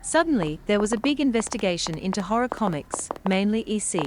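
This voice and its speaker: A woman playing a dry, knowing comic shop owner in a comedy horror audio drama, deadpan and unimpressed. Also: mid-range voice, fairly quick, background noise, lightly acted. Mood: explanatory.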